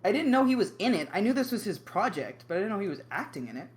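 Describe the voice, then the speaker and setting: A man's voice: high voice, sing-song, fast talker. A man on a reaction panel, chatting casually and loosely. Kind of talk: casual chat with swearing. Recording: faint background noise.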